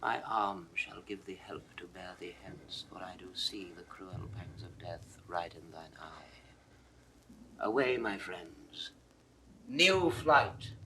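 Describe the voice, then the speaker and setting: An actor playing a grave English rebel nobleman, declaiming in measured classical Shakespearean style. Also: lowish voice, animated, pushed and bright, slightly rough, exaggerated voice, low-quality recording. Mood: grave, resolved. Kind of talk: 1960s classical Shakespeare audio drama acting.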